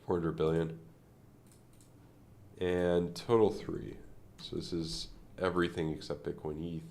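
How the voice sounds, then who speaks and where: Casual male streamer, gravelly fry, congested nasal tone, loose unscripted chart talk. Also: low voice, level tone, unhurried, a little mellow. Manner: rambling, thinking aloud with many fillers. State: congested from a sinus infection. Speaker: crypto trading streamer.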